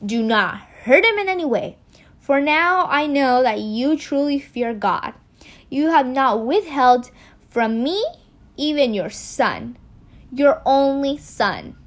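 Woman as a commanding, high-voiced heavenly angel. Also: lively intonation, slow. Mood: solemn.

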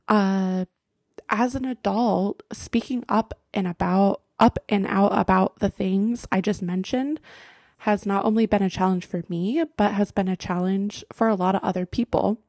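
A young woman hosting her own podcast, chatting casually and filling her talk with likes and ums. Her voice is mellow with a gravelly edge, recorded dry and close. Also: mid-range voice, dry recording. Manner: chatty, with frequent fillers and laughs. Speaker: podcast host.

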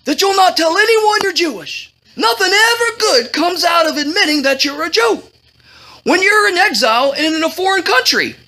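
A woman's voice: extremely high pitch, expressive, normal speed, sharp tone, pitched above the performer's own voice, exaggerated voice. A woman voicing a fretful, protective male guardian, anxious and exclamatory, in a comic story. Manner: anxious, exclamatory, Yiddish-flavored.

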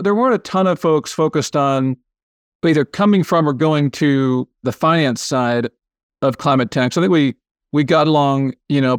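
A man's voice: on the high side, dry recording. A man hosting a podcast interview, chatty and loose, often restarting phrases and checking with tag questions. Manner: casual, hesitant, many restarts and tag questions. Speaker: podcast host. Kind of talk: casual interview chat.

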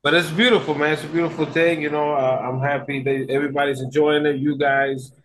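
A man chatting casually as a podcast guest, excitable and playful, with slangy talk. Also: on the high side, fairly quick, slight background noise, poor audio. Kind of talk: casual chat.

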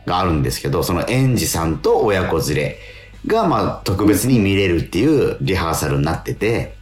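A man's voice: deep voice, big pitch swings, moderate pace, echoey room. A man co-hosting a radio talk, telling stories in a polite, chatty way with repeated words and hesitations. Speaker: radio show co-host and stage actor.

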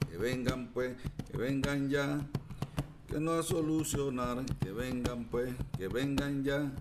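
A man's voice: mid-range voice, slow pace. A man playing an old village verse-maker who sings repeated folk verses to reassure his neighbours in a radio drama. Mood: reassuring, rallying. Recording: clearly noisy recording.

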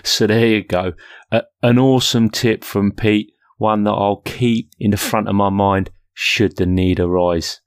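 Guy hosting a podcast, lowish voice, chatty and self-deprecating, very dry close sound. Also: steady tone, measured pace, clear, resonant tone, no echo. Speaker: podcast host. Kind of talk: casual solo podcast talk.

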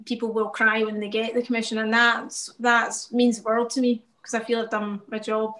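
A woman's voice: on the high side, little pitch movement, moderate pace, fairly bright tone. A woman painter talking reflectively about her work in a casual interview, often laughing.

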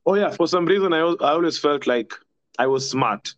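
A man's voice: on the high side, fairly quick, no echo, muffled recording. A young man with a Kenyan accent, a podcast guest telling stories from school in a rambling, casual way. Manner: rambling, laughs often, mixes in Swahili. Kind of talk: casual storytelling chat.

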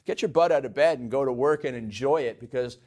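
A man from Canada co-hosting a podcast in a studio, speaking in a relaxed, reflective way with frequent restarts. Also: mid-range voice, quick pace. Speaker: podcast co-host. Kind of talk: casual podcast conversation.

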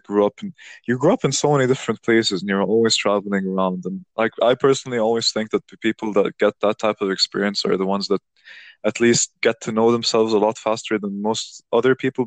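A man with a lowish voice hosts a relaxed conversation, thinking aloud as he goes. He circles back on his words often and restarts his sentences. The recording sounds dry and close. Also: no echo. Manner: rambling, many restarts, reflective. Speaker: podcast host. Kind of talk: casual conversation.

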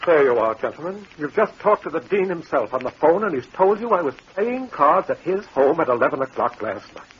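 An actor playing a smug, self-assured university professor who speaks smoothly and confidently, in 1940s radio drama style. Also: male voice, a little high, very animated, fairly quick, hardly any pauses, fairly bright tone, lo-fi sound, slight background noise.

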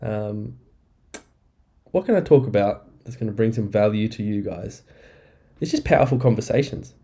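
A man hosts his podcast alone, talking loosely and cheerfully with the odd swear word. His fairly low voice sounds nasal and croaky from a bout of flu. He records on a laptop in an apartment. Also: expressive, normal speed, mellow. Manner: rambling, upbeat, swears casually. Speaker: podcast host. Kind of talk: casual solo podcast catch-up. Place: apartment indoors. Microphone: laptop microphone.

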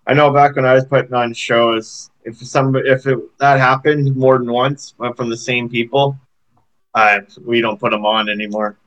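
A man's voice: mid-range voice, steady tone, normal speed, fairly bright tone, low-quality recording. A man interviewing a rapper, asking loose, rambling questions in an easygoing way.

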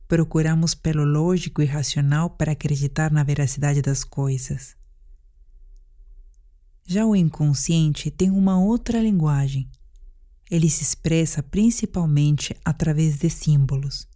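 A Brazilian woman narrating a guided sleep meditation in a calm, gentle, deliberate manner. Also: deep voice.

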